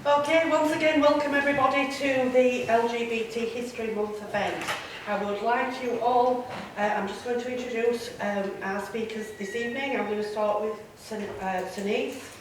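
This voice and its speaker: A woman's voice: a little high, steady tone, moderate pace, hardly any pauses, background noise. A woman with a Yorkshire accent hosting an event and introducing the speakers in a chatty way, with plenty of fillers. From Yorkshire, England. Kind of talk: speech to an audience. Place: large echoey room. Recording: very echoey room, voice from far off.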